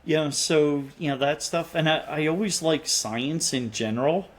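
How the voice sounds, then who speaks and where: A man's voice: fairly high pitch, measured pace. A middle-aged man with a Philadelphia accent, talking as a podcast guest. He rambles a little, with restarts and fillers, in a loose, conversational way. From Pennsylvania. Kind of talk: casual interview conversation.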